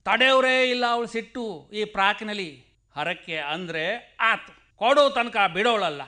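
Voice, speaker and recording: An actor in a radio play playing a stubborn, superstitious shepherd with a rural Karnataka accent, admonishing in emphatic, folksy speech. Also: male voice, high-pitched, normal speed, pushed and bright. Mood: ominous warning. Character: stubborn superstitious rustic shepherd, fervent devotee of village goddess.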